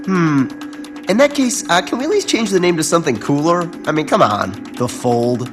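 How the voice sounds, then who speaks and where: A man acts out a young male superhero in a video game. His delivery is animated and leaps around, sarcastic and quippy under pressure. Music plays clearly behind him. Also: on the high side, moderate pace, lightly acted. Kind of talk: video game cutscene acting.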